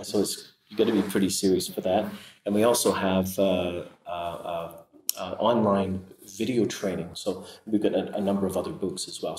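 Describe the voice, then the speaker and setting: A man's voice: on the low side, darkish tone. A middle-aged male priest talking earnestly in an interview, often repeating words as he starts a phrase. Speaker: priest and author. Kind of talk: interview conversation. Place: sitting room with large windows. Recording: roomy, from far off.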